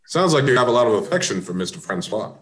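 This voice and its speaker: A man playing a composed, probing American police inspector in an amateur radio mystery, questioning politely in measured phrases. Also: medium pitch, big pitch swings, fairly bright tone.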